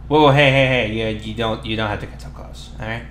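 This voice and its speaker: A man acting a flustered tsundere bully boy, low-voiced, roomy. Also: fairly even intonation, fairly bright tone, close to an everyday voice. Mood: flustered, defensive. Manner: halting, defensive, sheepish, casual slangy talk. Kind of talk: ASMR boyfriend roleplay acting. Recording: roomy echo, clear background noise.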